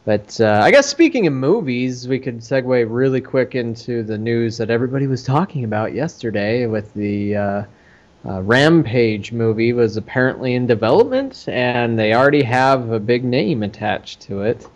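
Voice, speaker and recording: A man hosting a casual podcast chat about games and movies, speaking in halting, hesitant phrases. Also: mid-range voice, lively intonation, highs cut off. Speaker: podcast host.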